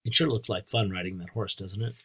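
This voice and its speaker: An American man with a husky voice reading aloud as a teacher to children, explaining things simply and speaking directly to young listeners. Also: on the low side, fairly even intonation, fairly quick, noisy background. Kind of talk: read-aloud lesson with asides and questions.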